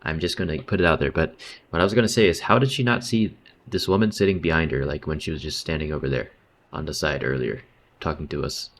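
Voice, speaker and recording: A man's voice: very deep voice, fast, fairly bright tone. A man giving casual, slangy, wry running commentary on a game stream. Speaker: livestreamer.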